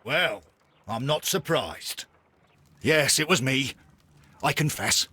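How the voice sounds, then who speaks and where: An actor playing a resigned wrongdoer in a video game, speaking in a deliberate way. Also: male voice, mid-range voice, expressive, bright, forceful tone, slightly rough, no room sound, exaggerated voice. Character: resigned, slow-spoken man confessing to a crime.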